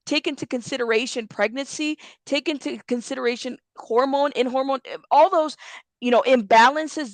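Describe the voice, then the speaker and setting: Woman commentator on a heated, cursing rant, hammering points home; higher voice, dry close mic. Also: a bit bright, dry recording. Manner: blunt, profane, repetitive, emphatic. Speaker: commentary channel host.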